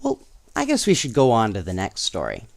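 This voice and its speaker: A man reading children's stories aloud in an expressive, playful way, with casual asides to young listeners. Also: on the low side, fairly quick, gravelly, dry recording. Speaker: storyteller.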